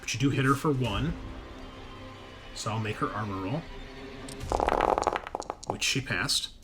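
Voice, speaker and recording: Male game master, mid-pitched, works through rules and outcomes aloud, music playing clearly underneath. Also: unhurried. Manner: thinks aloud while adjudicating rules. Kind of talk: narrating a tabletop roleplaying game.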